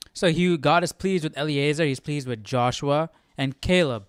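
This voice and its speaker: A man hosting an informal study livestream, thinking aloud in a halting, musing way and often checking himself with questions. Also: on the high side, clean tone, no room sound. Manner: halting, musing, often tags remarks with "right?". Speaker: host of a Bible study livestream.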